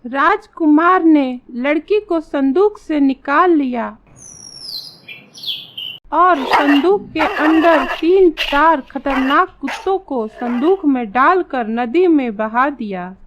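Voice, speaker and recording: Female storybook narrator: high-pitched, unhurried, scripted delivery, a touch lo-fi. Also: expressive, slight background noise. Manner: measured, storytelling. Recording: slightly dull, lo-fi sound.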